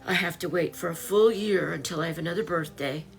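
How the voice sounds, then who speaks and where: A woman's voice: on the low side, fairly quick, gravelly, faint music behind, rough recording. A woman in her late fifties chatting casually on a home live stream, greeting viewers by name while she lists items to sell. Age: 50s. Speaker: online seller live-streaming.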